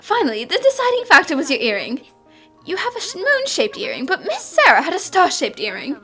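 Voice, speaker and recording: A woman plays a young female cartoon detective with a high, lively voice. She lays things out with total confidence and a theatrical flourish. Her pitch moves freely as she makes each point. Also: fairly quick, soft background music, heavily acted. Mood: assured, deductive. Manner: assured, explanatory, dramatic. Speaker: voice actor. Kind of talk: fan-dubbed cartoon acting.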